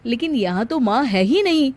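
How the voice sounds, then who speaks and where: A woman's voice: a little high, expressive, moderate pace, a little mellow. Woman playing a teasing young husband. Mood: amused, teasing.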